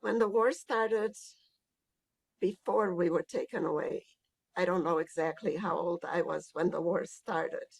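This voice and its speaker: An elderly woman from Hungary, giving a recorded oral history interview as a Holocaust survivor, speaking reflectively and hesitantly with pauses. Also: lowish voice, steady tone, gravelly, a little mellow, breathy edge, rough recording. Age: older.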